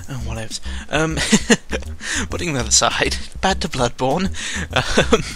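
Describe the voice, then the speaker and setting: A man's voice: mid-range voice, animated, slow, sharp tone. A man giving casual, unscripted gaming commentary in a rambling, self-deprecating, sweary way. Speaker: gaming video creator. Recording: music behind the voice. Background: background music.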